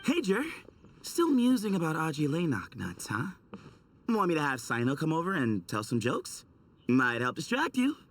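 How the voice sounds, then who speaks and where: An actor playing an easygoing, friendly young forest researcher in a video game, casual and teasing. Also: male voice, on the high side, huge pitch swings, normal speed, a bit bright, no echo, distant mic, full character voice. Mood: teasing, cheerful.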